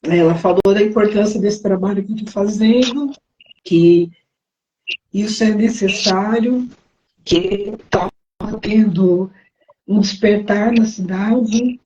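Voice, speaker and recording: A woman guest in a casual interview, hoarse and losing her voice, speaking haltingly. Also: medium pitch, warm tone, highs cut off. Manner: halting, brief answers. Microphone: remote call over a live stream.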